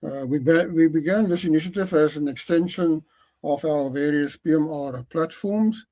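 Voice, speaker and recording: A man's voice: fairly high pitch, warm tone. A man hosting a podcast interview, speaking formally and politely with frequent hesitations. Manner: polite, halting with many fillers. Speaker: podcast host.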